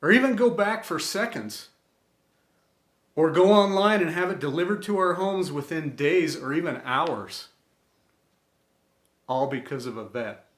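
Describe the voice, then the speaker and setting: A man with a slightly raised pitch reads an inspirational tribute very slowly and earnestly. He sets out each short phrase deliberately, with pauses between them. The room around his voice is clearly echoey. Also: animated, a bit bright. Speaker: storyteller and host of an inspirational series. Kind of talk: scripted inspirational tribute reading.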